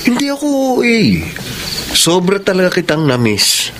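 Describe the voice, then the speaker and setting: Clear night-insect noise sits behind this radio drama voice. a young Filipino man, lovesick and insistent, pleading in a lively, rather high voice with a Filipino accent. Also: normal speed. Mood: tender, eager. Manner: pleading, persistent questioning. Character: lovesick, insistent young male former lover.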